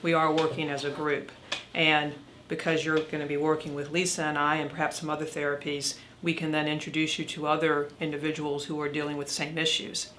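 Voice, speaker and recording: A woman's voice: deep voice, level tone, brisk, a bit bright, slight background noise. A woman playing an earnest yoga therapist explaining her practice in an amateur role play. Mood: earnest, explanatory. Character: earnest female yoga therapist for cancer patients.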